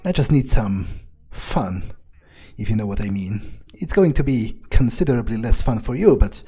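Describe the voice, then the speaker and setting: A man's voice: lowish voice, expressive, normal speed, mellow, breathy edge. A man roleplaying his game character aloud, musing to himself with cold, menacing understatement. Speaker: gameplay video player.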